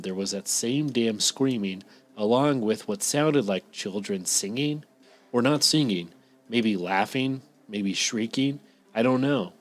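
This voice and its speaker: Low-voiced man reading a scary story. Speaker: podcast co-host reading listener stories. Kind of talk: reading stories aloud.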